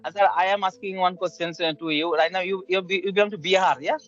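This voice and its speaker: Young man, English learner, video call, high voice, fast halting speech, many fillers, faint music behind. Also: steady tone, fairly bright tone, muffled recording. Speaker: English practice partner asking questions. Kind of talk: casual English practice conversation on a video call.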